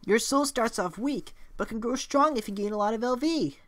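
A woman's voice: a little high, normal speed, natural voice. A woman voicing a sadistic young talking flower from a video game, folksy and saccharine with menace underneath. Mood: sugary, coaxing. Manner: saccharine folksy cheer turning to menacing sneer. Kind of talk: video game character impression.

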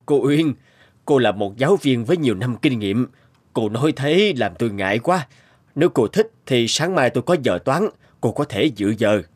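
A man voicing a stern, proud, mustached schoolteacher in an animated story, talking in a firm, lecturing way. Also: mid-range voice, moderate pace, slightly rough. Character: stern mustached male teacher, competitive, proud. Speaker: teacher character. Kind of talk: animated fairy-tale dubbing. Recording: music bed behind voice.

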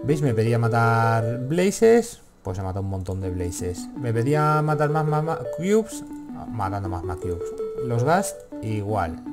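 A man's voice: medium pitch, big pitch swings, unhurried, music in the background. A man with a Castilian accent from Spain giving casual gameplay commentary, rambling to himself and swearing freely. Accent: Castilian Spanish from Spain. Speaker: gaming video host.

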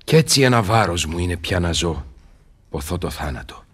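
An actor playing a weary, bitter, commanding old scholar in radio theatre, speaking verse in a grave, declamatory way. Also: male voice, low voice, very animated, a bit bright, husky, full character voice. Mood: bitter, world-weary.

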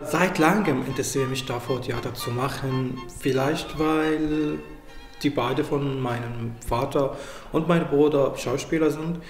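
A man in his thirties, an amateur actor and playwright, speaking haltingly with fillers in an indoor interview. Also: mid-range voice. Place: room with purple wall, indoors. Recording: very echoey room, faint music.

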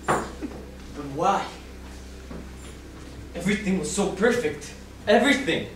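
A man's voice: sits high, animated, slow pace. A young man playing a devoted, anguished lover in an amateur stage play, recorded by a distant mic in a theatre. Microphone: distant camera microphone. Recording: echoey noisy theatre, distant camera mic.

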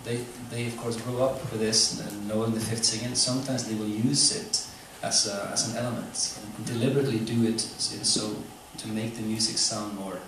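Man, lowish voice, informal lecture, hesitant delivery, clearly noisy distant recording. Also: steady tone, fairly bright tone. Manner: halting, explanatory. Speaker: musician giving a lecture. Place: club room with seated audience, indoors. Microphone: stage mic through speakers, recorded from afar. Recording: echoey, noisy hall recording from far off.

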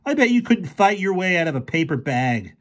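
A man's voice: a little high, lively intonation, normal speed, husky, voice pushed up. A man voicing a scrappy, sarcastic boy with a snappy, taunting way of talking in an audiobook. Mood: taunting, defiant. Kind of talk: audiobook character voice.

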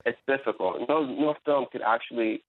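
A man's voice: medium pitch, moderate pace. A man, a journalist on a radio panel, arguing his point fluently and earnestly. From Iran, raised in America. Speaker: journalist and blogger, panel guest. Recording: tinny phone line.

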